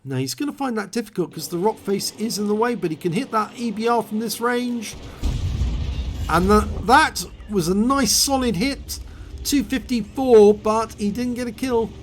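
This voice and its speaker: A man with a hoarse voice after a cold, calmly explaining and calling the play in a video game replay. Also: sits high, moderate pace, slight background noise.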